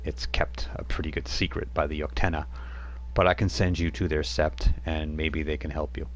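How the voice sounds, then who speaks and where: A man's voice: extremely low pitch, flat delivery, moderate pace, slight background noise. A man running a tabletop role-playing game on a live stream, rambling with frequent restarts as he narrates. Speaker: game master.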